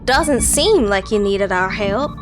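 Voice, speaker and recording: A woman's voice: medium pitch, expressive, slow pace, over music. A woman playing a sassy young Southern heroine in an audio drama, speaking wryly and teasingly.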